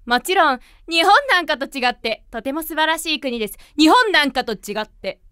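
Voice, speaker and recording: A woman playing a brash, tactless interpreter in a comedy voice drama, blunt and haughty with a deadpan manner. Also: high voice, normal speed, no room sound, studio quality. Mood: smug, provocative. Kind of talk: comedic Japanese voice drama acting.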